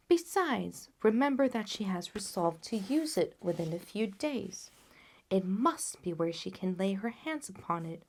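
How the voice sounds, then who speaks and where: An assured male detective from a classic storybook, voiced by a woman narrator. He sounds analytical and instructive, laying things out briskly and with full confidence. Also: medium pitch.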